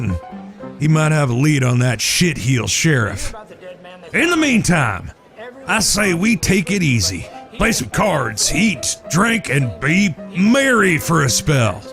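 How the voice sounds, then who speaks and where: A man acts out a laid-back Old West outlaw who does the planning for his brothers. His voice sits fairly high and swings freely, with a heavy creak, and music plays clearly behind his folksy lines. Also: heavily acted. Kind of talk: western audio drama acting.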